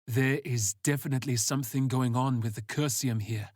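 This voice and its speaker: A man's voice: medium pitch, steady tone, normal speed, a little mellow, dry recording, clean studio sound, close to an everyday voice. Man as terse, watchful sci-fi agent. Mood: wary.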